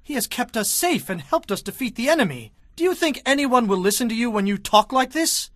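A man's voice: very high-pitched, sing-song, brisk, a bit bright, no room sound, studio quality, exaggerated voice. A man playing an earnest, commanding warrior leader in a dramatized audio Bible. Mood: firm, reproving. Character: earnest young warrior leader David, male.

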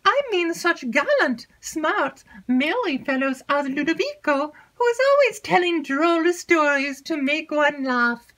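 Woman putting on a shrill, tearful young maid. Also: very animated, a little slow, few pauses, a bit bright, exaggerated voice, rough recording. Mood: chattering. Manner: breathless, tearful, rambling. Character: excitable chattering young female maid, easily frightened.

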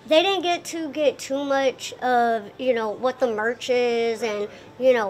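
Very high-voiced young woman chatting. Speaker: performer being interviewed. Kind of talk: casual interview chat. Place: indoor lounge by a window.

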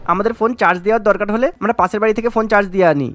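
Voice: medium pitch, fairly even intonation, rapid-fire, fairly bright tone, voice pushed down, close to an everyday voice. A performer voicing a meek young husband in a cartoon, placating and explaining. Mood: resigned. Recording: music bed behind the voice.

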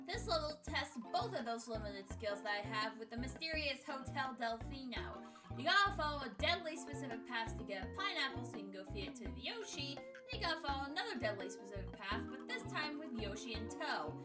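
A woman's voice: high-pitched, big pitch swings, fairly quick, recorded from a distance. A woman hosting a gaming video, giving casual scripted countdown commentary in an exasperated, sweary, jokey way. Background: music.

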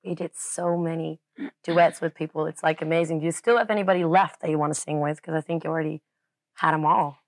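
A woman's voice: low voice, steady tone, fast talker, no echo. A young woman interviewing a guest in a studio, asking friendly, casual questions. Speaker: TV host, interviewer. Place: indoor studio set.

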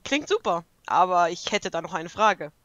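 A woman voicing a bossy, sharp-tongued cartoon pony princess in a fan audio drama, with dry, teasing sarcasm. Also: fairly low pitch, expressive, normal speed, no echo, lo-fi sound. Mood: dry, probing.

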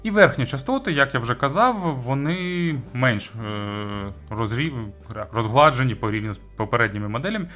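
A male reviewer talks through gear in a conversational way at a middling pitch, with music playing faintly behind him. He pauses to search for words, draws some out and pushes others up for emphasis. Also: lively intonation, sharp tone. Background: background music.

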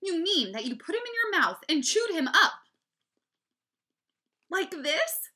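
A woman's voice: on the high side, big pitch swings, moderate pace, sharp tone, voice pushed up, heavily acted. A woman voicing a flustered, melodramatic, doting mother in a children's story. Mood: coaxing. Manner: dramatic, doting.

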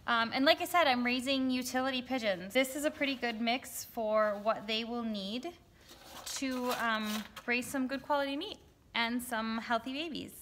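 Woman in her thirties, bright and chatty, casual vlog from a barn coop on a handheld phone. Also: a little high, moderate pace, slight background noise. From Wisconsin, United States. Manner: chatty, lots of ums and likes. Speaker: hobby farmer vlogger. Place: inside a wooden barn pigeon coop. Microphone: phone held at arm's length.

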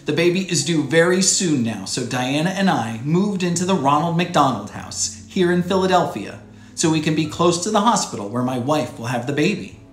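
An American man in his thirties hosting a children's read-aloud show, speaking to young viewers in clear, simple phrases as he presents and reads from a script. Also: on the high side, animated, moderate pace, echoey room. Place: small furnished room indoors.

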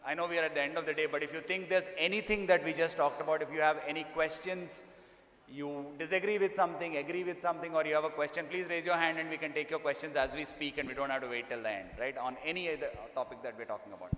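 A middle-aged man moderating a conference panel off the cuff, haltingly, with repeated phrases, on a stage microphone. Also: fairly high pitch, fairly even intonation, a bit bright. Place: large conference hall stage, indoors. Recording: echoey hall, amplified stage sound.

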